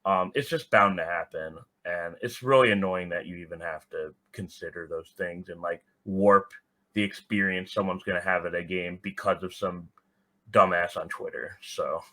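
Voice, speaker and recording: A man with a fairly low voice and a bright, punchy edge speaks as a podcast guest. His talk is candid and casual, full of "like", with blunt opinions and some swearing. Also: steady tone, moderate pace. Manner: blunt, swearing, heavy use of "like". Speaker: podcast guest, soccer supporters group organizer.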